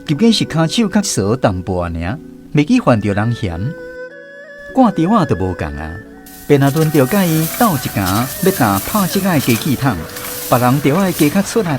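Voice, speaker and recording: Male radio narrator and host, lively storyteller, light music bed. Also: medium pitch, moderate pace.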